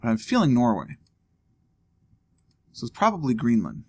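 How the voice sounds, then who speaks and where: A man's voice: medium pitch, huge pitch swings, normal speed. A man casually thinking aloud while playing a geography guessing game. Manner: thinking aloud, musing and joking. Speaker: let's play host. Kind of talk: casual gameplay commentary.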